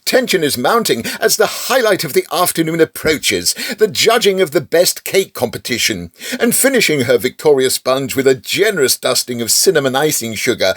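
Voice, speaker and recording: An English male narrator with a fairly high, very animated voice tells a comic tale archly. The sound is close and dry, with faint music. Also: no echo. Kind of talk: storybook-like scripted comic narration.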